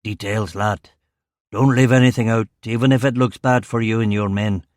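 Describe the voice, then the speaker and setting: Here is a man acting out a big older Glaswegian police commander from a crime audiobook. He is gravelly, blunt and authoritative, pitched low and kept fairly quiet, recorded very dry and close. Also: steady tone, normal speed, no echo, lightly acted. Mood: stern, demanding. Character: burly, angry older police chief superintendent, commanding but protective. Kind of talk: audiobook character dialogue.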